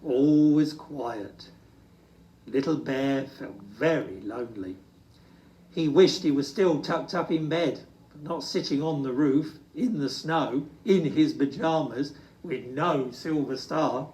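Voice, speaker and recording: A storyteller reading to children expressively, with dramatic, drawn-out emphasis. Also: mid-range voice, a little mellow. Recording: roomy, distant microphone.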